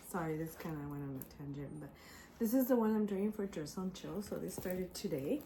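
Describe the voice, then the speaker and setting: A woman hosting a casual craft unboxing vlog, her voice raspy and congested from a sore throat, speaking hesitantly with many ums. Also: lowish voice, background noise. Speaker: craft channel host.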